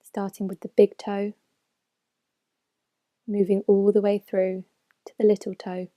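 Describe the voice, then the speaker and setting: A woman guides a meditation in a mellow, relaxed voice. Her intonation stays very even and flat, and her phrases are gentle and measured. The sound is dry and close, with no room around it. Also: medium pitch, no echo. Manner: gentle, measured, unhurried instruction with soft phrase endings. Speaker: mindfulness meditation teacher. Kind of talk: slow guided meditation narration.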